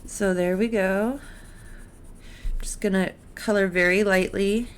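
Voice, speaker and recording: A woman teaching art in a casual step-by-step drawing tutorial, encouraging and unhurried as she talks through each step while she works. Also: mid-range voice, steady tone. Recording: clear background noise, drawing sounds.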